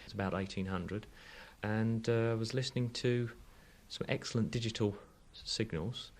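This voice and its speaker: Careful male engineer, fairly low voice. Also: fairly even intonation, moderate pace. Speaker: engineer guest. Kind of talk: conversational interview answers.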